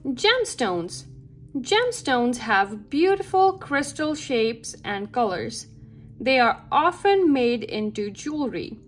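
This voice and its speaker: A woman reading a nonfiction picture book aloud for children, with careful, deliberate and expressive phrasing. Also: fairly high pitch. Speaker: read-aloud narrator for children. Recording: background music under the voice. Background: music.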